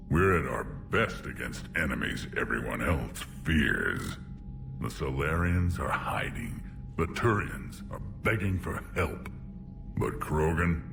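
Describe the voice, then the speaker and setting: An actor voicing a huge, proud, menacing alien clan leader in a video game, with a gravelly rumble and a blunt, domineering delivery. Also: male voice, heavily acted. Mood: grimly eager. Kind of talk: video game cutscene acting.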